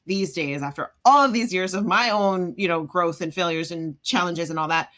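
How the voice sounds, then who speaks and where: A woman from Jamaica, a self-esteem expert, talking as a podcast guest in an expressive way, acting out quoted voices and laughing often. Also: on the low side, moderate pace. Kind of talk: animated podcast conversation.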